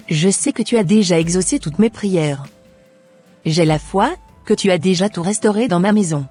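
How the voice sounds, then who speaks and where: A woman playing a devout wife, husky, lowish. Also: mellow. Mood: grateful, reverent. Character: devout, troubled wife praying for her marriage.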